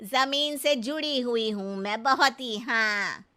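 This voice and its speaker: Shrill, brash village mother-in-law. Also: pushed and bright. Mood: naive, cheeky. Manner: scolding, literal-minded, folksy, drawn-out delivery. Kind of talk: comic cartoon story acting.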